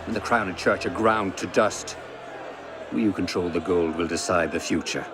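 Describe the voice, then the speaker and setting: Low-voiced, coldly calculating mastermind. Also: male voice, very noisy. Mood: cold, grandiose. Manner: measured, grandiose, philosophizing. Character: cold, calculating male Templar mastermind, smug conspirator. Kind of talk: scripted video game acting.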